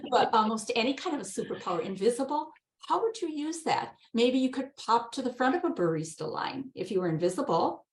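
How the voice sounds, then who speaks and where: A woman's voice: on the high side, animated, brisk, few pauses. Woman giving casual advice in echoey room. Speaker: workshop participant offering advice. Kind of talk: casual advice with acted-out example questions. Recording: roomy, echoing online call audio.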